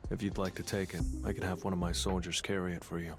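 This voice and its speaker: A man's voice: deep voice, little pitch movement, fast, lightly acted. An actor playing a cool, decisive young male hunter in a video game, speaking in a terse, composed way. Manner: terse, composed, matter-of-fact. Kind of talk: scripted dramatic game acting. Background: music.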